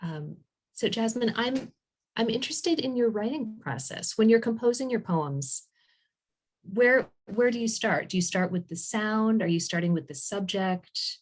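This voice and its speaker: A woman's voice: on the high side, animated, measured pace. A woman moderating an online panel, asking conversational interview questions over a video call. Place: home room, indoors, on a video call. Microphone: computer video-call mic.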